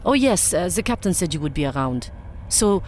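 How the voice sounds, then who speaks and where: A woman's voice: lowish voice, big pitch swings, normal speed, a little mellow, slight background noise. Haughty French female doctor for radio play, woman acting, grudging and snippy, faint ship ambience behind. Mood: irritated. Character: proud, snippy Frenchwoman doctor aboard a warship. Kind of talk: audio drama acting.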